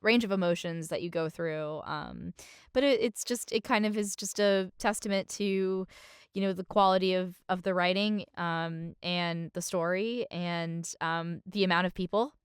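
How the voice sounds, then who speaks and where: A woman talking as a podcast guest in a casual, reflective way, halting and expressive with a self-deprecating streak. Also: fairly low pitch, clear, resonant tone, no echo, clean studio sound.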